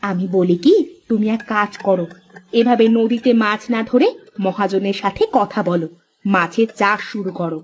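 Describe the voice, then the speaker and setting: A woman voicing a sharp-tongued, practical fisherman's wife in a cartoon, bossy and scolding. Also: medium pitch, normal speed, close to an everyday voice. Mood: practical, coaxing. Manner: nagging, scolding. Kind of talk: Bengali cartoon story voice acting.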